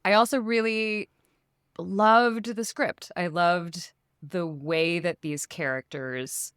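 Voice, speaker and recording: A woman, an actress, chatting casually in an interview in measured phrases with pauses between them. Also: lowish voice, fairly even intonation, a bit bright, clear, resonant tone, no room sound, studio quality.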